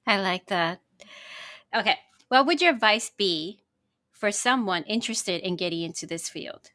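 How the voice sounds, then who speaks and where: Woman co-host, mid pitch, casual and friendly, dry close mic. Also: lively intonation, moderate pace, bright, forceful tone, dry recording. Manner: friendly, brief reactions. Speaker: podcast co-host. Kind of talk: casual interview chat.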